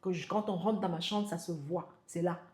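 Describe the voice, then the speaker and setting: A woman's voice: lowish voice, steady tone, moderate pace, darkish tone, reverberant. A woman in her forties giving self-help advice to camera, insistent, repeating her points and speaking straight to the listener. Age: 40s. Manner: insistent, repeating for emphasis, addresses viewer as tu. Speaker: motivational coach.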